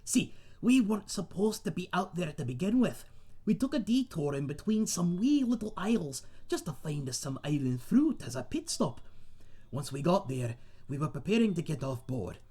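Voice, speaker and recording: A man's voice: a little high, sing-song, warm tone, husky. A man playing a friendly, rambling tavern owner and seasoned adventurer, telling tales to one listener in a folksy, chatty way in a fantasy audio roleplay. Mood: animated storytelling. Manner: chatty, folksy, dramatic pauses, calls listener mate.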